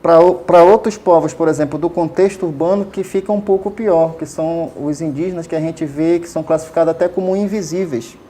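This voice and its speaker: A man's voice: fairly high pitch, fairly even intonation, fairly quick, few pauses, warm tone. Hesitant man. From Amazonas, Brazil. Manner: hesitant, many fillers and restarts, frequent tag questions. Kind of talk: casual explanatory interview answers.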